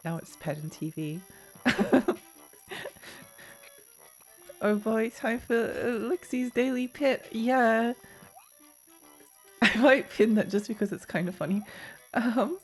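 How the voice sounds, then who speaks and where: A woman streaming a video game, chatting casually with many fillers and little laughs, her voice a bit croaky. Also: a little high, expressive, takes it slowly, long pauses, soft background music. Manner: rambling, giggly, many fillers and restarts. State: slightly croaky voice. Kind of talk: casual live-stream chatter while gaming.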